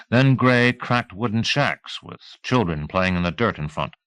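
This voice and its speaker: A man reading a novel as an audiobook narrator, in measured, clearly articulated scripted storytelling. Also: fairly low pitch, a bit bright, no echo.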